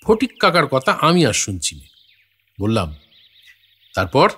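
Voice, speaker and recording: Expressive male storyteller. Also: mid-range voice, measured pace, breathy edge, exaggerated voice. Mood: reflective, amazed. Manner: literary, reflective, expressive. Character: thoughtful gentleman writer narrating his encounters, curious and courteous. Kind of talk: scripted story narration with acted dialogue. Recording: faint music behind the voice.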